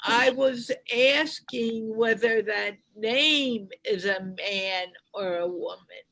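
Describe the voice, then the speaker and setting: A woman hosting a show, asking encouraging questions in a deliberate way on a video call. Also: fairly high pitch, gravelly, a bit bright. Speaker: host.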